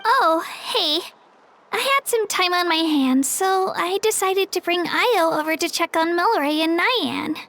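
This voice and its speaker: A very high-pitched young girl from a video game. She speaks gently and earnestly, with a hesitant, self-doubting edge and polite delivery. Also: lively intonation, a little slow, a little hiss or hum, full character voice. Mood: reassuring.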